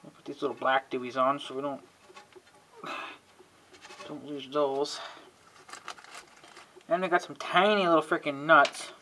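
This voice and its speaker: Male DIY video host: bright, forward voice, folksy off-the-cuff rambling, faint noise behind. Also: a little high, fairly even intonation, measured pace, long pauses. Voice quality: frequent throat clearing. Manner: folksy, muttering to himself, mild exasperated cussing. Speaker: video host showing an antenna assembly. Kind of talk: casual rambling hands-on demonstration. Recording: clearly noisy homemade recording with clicks.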